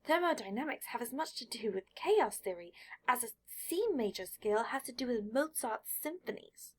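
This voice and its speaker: A woman voicing a precocious, indignant young boy with a pedantic manner that turns shrill when annoyed, in an audiobook story. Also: animated, moderate pace.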